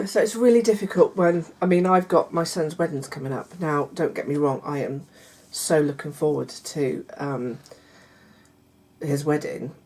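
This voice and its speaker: A middle-aged woman, chatting casually to her viewers in a croaky voice, hesitant with many fillers and restarts. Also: low voice, unhurried. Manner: warm confiding tone toward viewers. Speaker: vlogger. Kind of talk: casual chat to camera.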